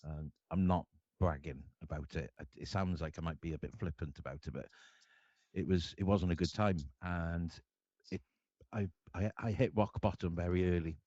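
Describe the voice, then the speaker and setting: A very low, mellow-voiced man with a Cardiff accent speaks earnestly, stopping and restarting his sentences as he goes. The sound is dull and lo-fi, dry with no room around it. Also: fairly even intonation, dry recording. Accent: Welsh, Cardiff. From Wales. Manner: earnest, halting, many restarts. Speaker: guest artist. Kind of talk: candid personal storytelling.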